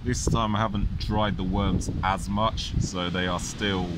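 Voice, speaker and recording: Man, lowish voice, chatty angler vlog, handheld phone outdoors, heavy wind buffeting the mic. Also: fairly even intonation, measured pace. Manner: chatty, matter-of-fact, self-correcting. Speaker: angler vlogger. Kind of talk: casual solo commentary. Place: outdoors on a windy seaside pier. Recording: loud wind noise on the mic.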